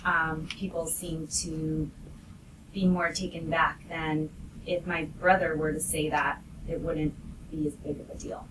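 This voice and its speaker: A woman's voice: sits low, level tone, unhurried, fairly bright tone, poor audio. A woman speaking casually as a panelist in a podcast discussion, recorded with noise around her.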